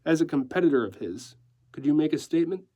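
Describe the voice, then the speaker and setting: A man's voice: on the high side, animated, fast talker, warm tone. A man reading the part of an unruffled veteran male newspaperman in a story, asking plain, direct questions. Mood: probing.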